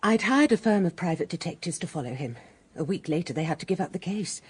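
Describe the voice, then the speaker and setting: A woman acting in a radio drama as a proud, highly strung wife under suspicion, emotional and haughty. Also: animated, fast talker, darkish tone, breathy edge. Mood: defensive.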